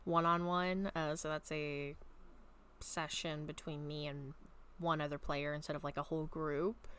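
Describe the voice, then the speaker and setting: A woman chatting casually and reading her own story aloud at home, a little raspy from a long talking session, rambling with many soft ums. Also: deep voice, little pitch movement, unhurried, a little hiss or hum. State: slightly raspy throat. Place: at home, bedroom.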